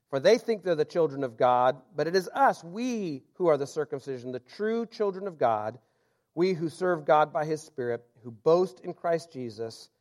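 A man with a somewhat high-pitched voice preaches a sermon, moving between reading a biblical passage aloud and explaining it. His tone is explanatory and relaxed, with occasional self-deprecating asides.

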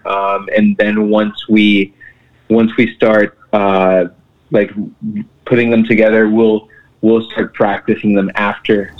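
A man from Mexico, a band's singer and guitarist, answering interview questions in a relaxed way with many restarts. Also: lowish voice. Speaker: band vocalist and guitarist. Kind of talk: casual interview. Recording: tinny phone line.

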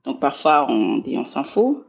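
Gravelly, husky woman in her forties. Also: extremely low pitch, sing-song, unhurried, a little mellow, muffled recording. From Belgium. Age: 40s. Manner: thoughtful, repeats words while searching for phrasing. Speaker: guest, scholar of international relations. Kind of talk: reflective interview talk.

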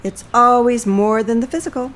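An actress playing a blunt, commanding seventy-one-year-old Army veteran who barks clipped orders in a stage play. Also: female voice, a little high, normal speed, fairly bright tone, slight background noise, close to an everyday voice. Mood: blunt encouragement. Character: brisk, bossy 71-year-old woman, ex-Army fitness drill-sergeant. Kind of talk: scripted two-person stage comedy-drama.

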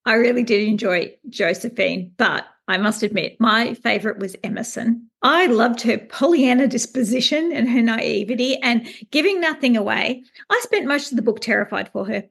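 Casting: female book-chat host, highish creaky voice, effusive delivery. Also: lively intonation, moderate pace, few pauses, a bit bright. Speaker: interview host.

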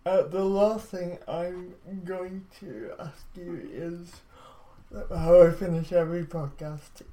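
A man's voice: a little high, mellow, low-quality recording. A man hosting an interview podcast in a conversational style. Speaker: podcast host.

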